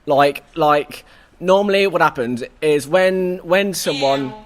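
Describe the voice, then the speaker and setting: Hoarse excitable man hosting. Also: fairly high pitch, slow. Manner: excitable, stammering restarts, swears. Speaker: host. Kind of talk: casual review chat.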